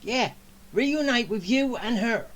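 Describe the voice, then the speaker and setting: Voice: medium pitch, animated, takes it slowly, a bit bright. A game streamer giving casual playthrough commentary, joking along and reacting to the dialogue.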